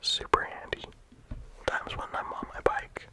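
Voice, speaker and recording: A game reviewer talking casually in a soft ASMR whisper, with frequent "like" and "um" fillers. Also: unhurried. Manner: soft unvoiced whisper throughout.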